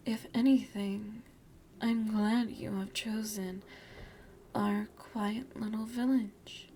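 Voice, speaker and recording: A woman playing an elegant, seductive, motherly vampire lady of the manor in a softly half-whispered ASMR roleplay, genteel and coaxing. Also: mid-range voice, fairly even intonation, slow pace, slight background noise. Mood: soothing, genteel. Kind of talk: ASMR roleplay, soft breathy speech.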